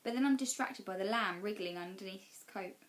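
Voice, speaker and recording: A woman narrating a children's novel as a teacher would for class storytime, expressive and measured. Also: mid-range voice.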